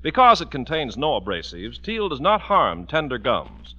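Male vintage radio pitchman and narrator: medium pitch, forceful, polished, emphatic delivery, muffled period recording. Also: huge pitch swings, normal speed. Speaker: announcer. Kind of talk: 1940s radio commercial and scripted narration.

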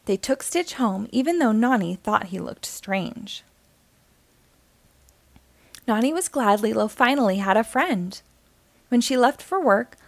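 A woman narrates a children's story in a fairly high voice with very lively, swooping intonation. She reads expressively, as a storyteller for young listeners, and the sound is dry and close. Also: no room sound. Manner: animated, acting out dialogue. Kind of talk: expressive read-aloud storytelling.

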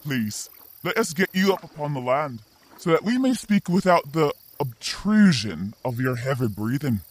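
An actor playing a courteous selkie elder in creature form in a fantasy audio drama, formal and ornate in speech. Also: male voice, fairly high pitch, sing-song, moderate pace, dry recording. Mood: stately.

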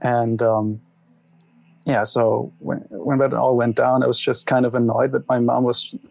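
A young man from Germany with a German accent, talking openly and haltingly as an interview guest. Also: medium pitch, flat delivery, gravelly, no echo, low-quality recording. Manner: open, halting, laughs at himself. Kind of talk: candid personal interview.

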